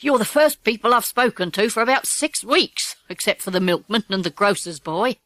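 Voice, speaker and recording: A woman's voice: mid-range voice, lively intonation, normal speed, fairly bright tone, no echo, natural voice. A woman voicing a cross, disagreeable old woman caretaker who snaps and scolds, in a children's audiobook. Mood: curt. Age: older. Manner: snappish, scolding. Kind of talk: children's audiobook character acting.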